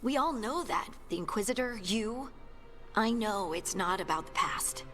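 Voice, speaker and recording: A woman's voice: medium pitch, lively intonation, unhurried, a bit bright, soft background music. A woman acting an earnest, conflicted young dwarf scout in a video game. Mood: reflective, resolute.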